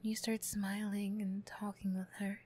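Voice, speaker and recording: A woman softly narrating an ASMR roleplay. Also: medium pitch, little pitch movement, measured pace, a little hiss or hum. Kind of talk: soft ASMR story narration. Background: music, guitar.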